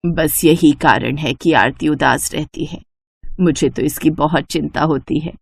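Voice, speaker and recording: Kindly older mother-in-law female storyteller acting, low, dry sound, caring and concerned, family storybook dialogue. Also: fairly even intonation, measured pace, gravelly, slightly rough, no echo, full character voice. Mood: concerned, soothing. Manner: gentle, motherly, reassuring.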